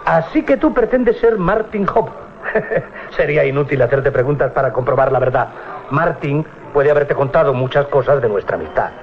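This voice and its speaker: Sceptical, gruff seaman male actor, mid-pitched and mocking; muffled vintage radio play. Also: animated, moderate pace, close to an everyday voice. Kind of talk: 1980s radio drama acting. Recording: dull old radio recording.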